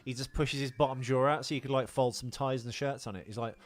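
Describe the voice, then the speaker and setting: A man's voice: medium pitch, fairly even intonation, fast talker, a little hiss or hum. A man co-hosting a podcast in casual film chat, opinionated and wisecracking in a dry way. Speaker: podcast co-host.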